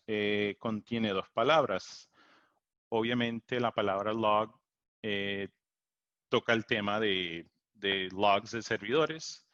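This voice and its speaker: A man's voice: mid-range voice, fairly bright tone, no echo. A man who is a native English speaker, giving an online technical talk in Spanish with hesitant fillers and restarts, heard over a computer mic on a video call. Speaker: technical support engineer presenting a webinar. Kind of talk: online tech presentation. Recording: dull lo-fi video call audio.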